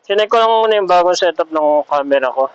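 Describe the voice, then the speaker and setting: A man's voice: high-pitched, expressive, fast talker, clear, resonant tone, dry recording. A man chatting casually and rambling as he thinks aloud, in the style of a motovlog. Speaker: vlogger. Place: outdoors on the road in traffic. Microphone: motorcycle helmet intercom over Bluetooth. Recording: dull lo-fi Bluetooth intercom mic.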